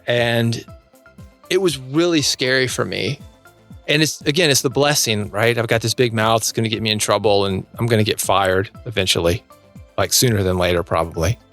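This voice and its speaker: A man's voice: on the low side, normal speed, fairly bright tone, faint music behind. A man in his forties speaking casually and candidly as an interview guest, often questioning himself. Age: 40s. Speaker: podcast guest, entrepreneur.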